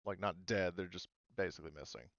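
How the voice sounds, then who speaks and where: A man running a tabletop roleplaying game as game master, explaining informally and haltingly. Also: low voice, gravelly, a bit bright, no echo, dull audio.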